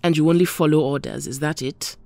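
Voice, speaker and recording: A woman's voice: low voice, fairly even intonation, fairly quick, gravelly, dark tone. A woman playing a proud, guarded mutant heroine in an audio drama, with music under her voice. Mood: hurt, angry.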